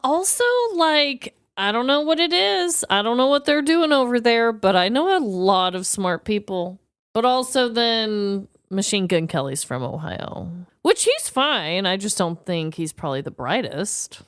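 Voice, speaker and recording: A woman co-hosting a comedy podcast, talking in a chatty, slangy, animated way close to the mic. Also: mid-range voice, measured pace, smooth, no room sound. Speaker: podcast co-host. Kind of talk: casual comedic chat.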